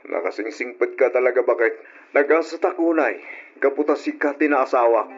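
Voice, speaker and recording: A man's voice: medium pitch, normal speed, faint music behind, lightly acted. A man playing a concerned, affectionate husband in a radio drama, solicitous and questioning, with a Filipino accent. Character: concerned, affectionate adult husband and dutiful son. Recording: dull, lo-fi old radio drama.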